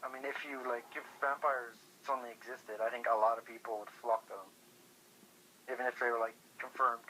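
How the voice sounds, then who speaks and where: Over a phone call with a little background noise, a man with a medium-pitched voice chats casually. He talks haltingly, often repeating words. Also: little pitch movement, pushed and bright. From Europe. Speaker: featured phone guest. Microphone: telephone.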